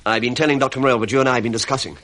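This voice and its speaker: A man's voice: mid-range voice, steady tone, racing, fairly bright tone, lightly acted. A man playing a bewildered, anxious bereaved son in an old-fashioned radio mystery, earnest and hesitant in his delivery. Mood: earnest, troubled.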